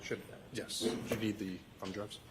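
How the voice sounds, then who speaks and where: A man with a fairly low voice testifies from a courtroom witness stand as a police witness. He reads out log entries in a matter-of-fact, repetitive way, with faint noise on the recording. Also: steady tone, unhurried. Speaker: state trooper testifying as witness.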